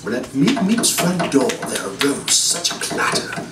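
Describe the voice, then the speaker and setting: A storybook narrator recites rhyming lines for a puppet show. The pace is unhurried. The voice sounds distant in a roomy space, over loud music. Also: mid-range voice, sing-song. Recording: echoey, distant mic, loud music behind.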